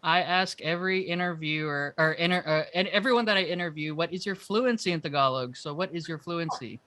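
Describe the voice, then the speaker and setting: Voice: medium pitch, a little fast, sharp tone. A podcast host asking guests questions in an upbeat, casual way and laughing often.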